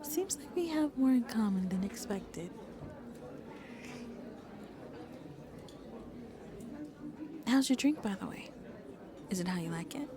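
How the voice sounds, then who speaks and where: A woman playing a shy but playfully flirty vampire on a date in an ASMR roleplay, speaking softly and intimately and often slipping into breathy whispers. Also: a little high. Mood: curious, attentive.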